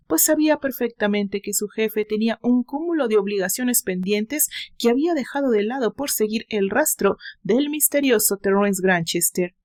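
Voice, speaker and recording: A woman's voice: a little high, darkish tone. A woman with a Mexican accent giving a dramatic scripted narration of a story as its author and narrator.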